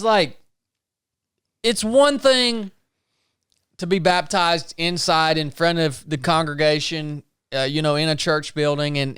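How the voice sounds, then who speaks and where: A man hosts a podcast in an earnest, folksy way. His voice sits fairly high and comes across smooth and resonant. The sound is close and dry, on a podcast microphone. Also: a bit bright, no room sound. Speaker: podcast host. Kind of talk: casual interview chat.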